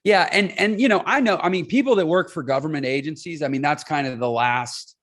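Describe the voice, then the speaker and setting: High-voiced emphatic man in his forties. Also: a little fast, a bit bright. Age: 40s. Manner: emphatic, explains with figures, asks "right?" often. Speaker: podcast guest, entrepreneur. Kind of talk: casual interview talk.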